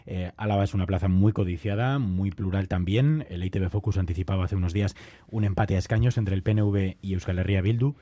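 A man's voice: low-pitched, fast talker, dark tone, no room sound. A man hosting a radio interview, asking probing questions with hesitant fillers. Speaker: radio host. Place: radio studio. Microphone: studio microphone.

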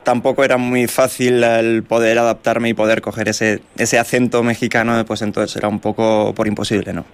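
A man from Spain, a radio guest back from working abroad, talking in a measured, thoughtful way with fillers. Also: fairly low pitch, little pitch movement, clear, resonant tone. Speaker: radio guest, returned from Mexico. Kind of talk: casual radio interview.

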